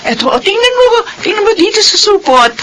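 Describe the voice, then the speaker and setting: A woman's voice: extremely high pitch, sing-song, fairly quick, bright, forceful tone, slight background noise, exaggerated voice, rough recording. A woman voicing a weary, self-sacrificing mother in a classic radio drama, talking emotionally and with many exclamations. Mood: tender. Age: middle-aged. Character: worn-out self-sacrificing mother of three grown children. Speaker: voice actress.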